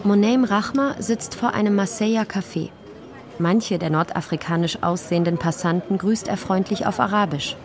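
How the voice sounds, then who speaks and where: A woman's voice: mid-range voice, moderate pace, background noise. A woman reading scripted narration for a radio feature as its reporter.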